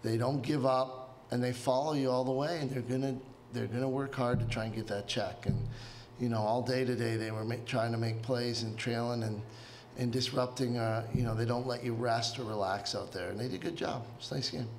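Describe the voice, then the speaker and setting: A middle-aged man, a head coach, answering reporters at a post-game press conference into a table microphone, measured and hesitant with many fillers. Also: mid-range voice, steady tone, a little hiss or hum. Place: press room before a sponsor backdrop.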